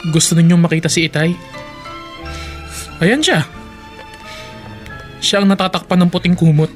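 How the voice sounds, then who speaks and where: An actor playing a bitter, grieving eldest son in an emotional, declamatory Tagalog radio drama style. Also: male voice, high-pitched, normal speed, soft background music, lightly acted. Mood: restrained bitter grief.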